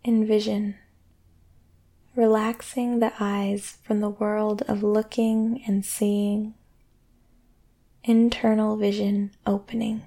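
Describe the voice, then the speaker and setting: A woman guiding a sleep meditation in a soothing, gentle way, speaking deliberately with long pauses. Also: mid-range voice, flat delivery. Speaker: meditation guide and podcast host. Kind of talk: guided sleep meditation, yoga nidra.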